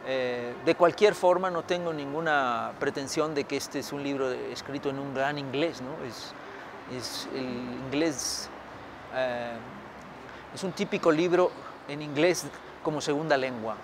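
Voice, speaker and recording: A man's voice: mid-range voice, a little slow, background noise. A middle-aged man from Mexico answering interview questions outdoors, thoughtful, with many fillers and restarts. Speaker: historian author. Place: outdoors by a building.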